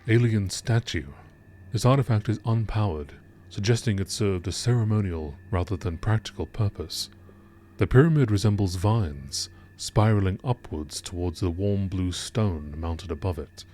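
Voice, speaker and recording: A man with a low-pitched voice narrates in the manner of a nature documentary. He reads from a script, formally and with measured phrasing, like entries in a scientific log. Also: mellow, a little hiss or hum. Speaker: narrator reading game lore entries.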